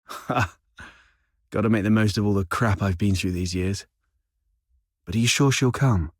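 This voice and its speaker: An actor playing a worldly, streetwise schemer in a video game cutscene, with a wry, conversational way of talking. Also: male voice, fairly low pitch, unhurried, no room sound, clean studio sound. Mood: wry, confident.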